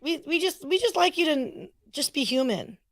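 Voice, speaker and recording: A woman with a raspy voice chatting over a video call, giggly, often trailing off mid-sentence. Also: sits high, very animated, normal speed, no room sound. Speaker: podcast guest. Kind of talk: casual chat between friends.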